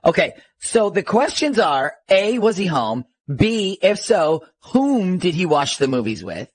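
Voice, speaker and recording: A man's voice: sits high, big pitch swings, measured pace. A man hosting a radio show, bantering playfully with a caller.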